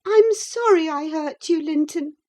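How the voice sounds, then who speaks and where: A woman's voice: high-pitched, lively intonation, measured pace, dark tone, voice pushed up. A woman voicing a hot-tempered young girl in an audiobook, passionate and crying out. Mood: pleading. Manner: passionate, cries out. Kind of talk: audiobook character reading.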